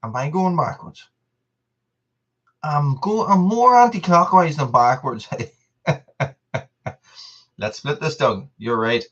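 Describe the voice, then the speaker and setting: A man's voice: on the high side, lively intonation, takes it slowly, pauses often, fairly bright tone, highs cut off. A man, hosting a casual live stream and chatting to viewers. He rambles, repeats himself and laughs often. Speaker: channel host on a live stream.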